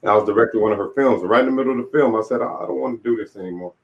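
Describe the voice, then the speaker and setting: A man's voice: mid-range voice, racing. A man in his late forties giving an interview, telling his life story in a candid, reflective, plain-spoken way. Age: late 40s. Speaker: interview guest, author. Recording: dull, lo-fi audio.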